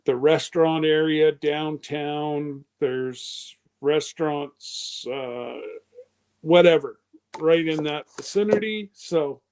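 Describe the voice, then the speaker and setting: A man's voice: on the high side, slow pace, darkish tone, clear, resonant tone. A man in his fifties hosting a live stream, chatting casually in a loose, rambling way. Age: 50s.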